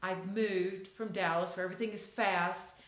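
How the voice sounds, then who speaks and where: A woman's voice: mid-range voice, fairly even intonation, normal speed, fairly bright tone. A woman in her fifties with a Texas accent, giving a casual, reflective inspirational talk to camera with wry asides and frequent ums. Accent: Texan. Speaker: vlogger. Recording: echoey room.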